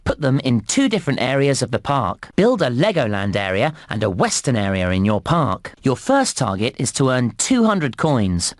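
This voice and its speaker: A man playing a cheerful, encouraging theme park guide in a game, giving scripted instructions in a clear presenter's delivery. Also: medium pitch, very animated, normal speed, few pauses, no echo, studio quality, natural voice. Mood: upbeat, instructive. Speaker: game narrator and tutorial guide.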